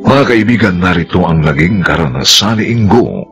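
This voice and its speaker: A man narrating and announcing a radio drama in a scripted, measured, emphatic style. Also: sits low, animated, a bit bright. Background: plucked guitar music.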